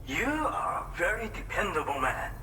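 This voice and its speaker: An actor playing a formal, gracious male prepper greeting a courier in a video game, polite and deliberate. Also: high-pitched, sing-song, noisy background. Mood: pleased, gracious. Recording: echoey room with clear music behind.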